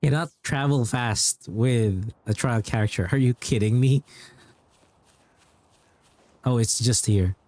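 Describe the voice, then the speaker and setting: A man's voice: medium pitch, slow, long pauses, darkish tone, no room sound. Man chatting casually on a livestream. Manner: rambling, repeats himself. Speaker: game livestreamer.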